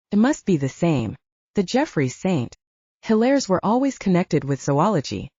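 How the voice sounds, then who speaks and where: Dark-voiced woman narrating, lively pitch. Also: husky, dry recording. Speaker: audiobook narrator. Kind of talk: scripted literary narration. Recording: slightly dull, lo-fi close recording.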